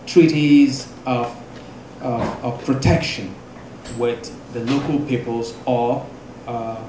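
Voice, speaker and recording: Nigerian man, Igbo native, measured lecturer full of ums, recorded in a roomy hall over steady background noise. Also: mid-range voice. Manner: measured, halting, many fillers. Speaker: guest speaker. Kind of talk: public lecture. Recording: echoey room with noise.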